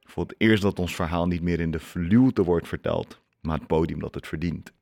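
Deep, creaky-voiced man in interview. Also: moderate pace, a little mellow, no echo, studio quality. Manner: hesitant, emphatic, drops in English phrases. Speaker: interviewee, activist. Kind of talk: reflective interview talk.

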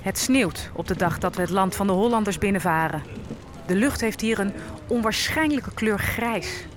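A woman's voice: medium pitch, animated, moderate pace, crowd noise, natural voice. An actress playing an enslaved African woman held captive on a ship, narrating her ordeal in an audio drama. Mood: bleak.

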